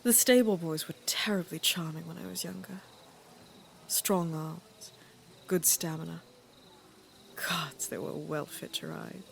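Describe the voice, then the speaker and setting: A woman performs an aging monarch of a fantasy court, wry and careworn. Her voice sits low with a gravelly fry, and she phrases things deliberately, like a ruler used to being obeyed. There is faint noise behind her. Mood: wry, teasing. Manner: measured, deliberate, dryly teasing. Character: aging queen and mother, worried, wry, regal. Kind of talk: dramatic fantasy court audio drama.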